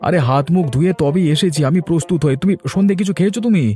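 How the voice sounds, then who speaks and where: Man as a fast-talking, brusque cartoon husband. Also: high voice, mellow, faint music behind, close to an everyday voice. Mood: brusque, chiding. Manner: brusque, nagging but caring. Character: gruffly affectionate, hot-tempered husband. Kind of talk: animated cartoon story dialogue.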